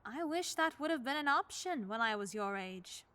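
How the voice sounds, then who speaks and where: A woman playing an elderly widowed neighbor in her seventies in a radio play, speaking gently and plainly with a wry edge. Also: a little high, expressive, moderate pace, no room sound. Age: 70s. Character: kindly widowed old woman neighbor, churchgoing.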